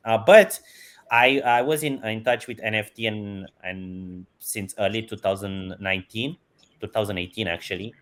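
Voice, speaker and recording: Lower-voiced man, chuckling. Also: measured pace, fairly bright tone. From Romania. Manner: enthusiastic, chuckles often between phrases. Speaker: guest, company CEO. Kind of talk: casual interview answers.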